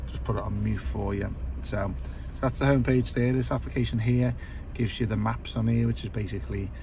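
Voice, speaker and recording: Croaky man demoing a car stereo. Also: lowish voice, brisk, background noise. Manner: relaxed, filler-heavy explaining. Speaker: car audio installer. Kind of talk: casual product walkthrough. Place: inside a car.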